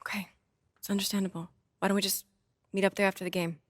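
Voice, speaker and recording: A woman's voice: medium pitch, level tone, quick pace, no echo, clean studio sound, close to an everyday voice. A woman playing an athlete's supportive but insistent young girlfriend in a video game scene, earnest and natural in delivery. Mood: understanding. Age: young adult.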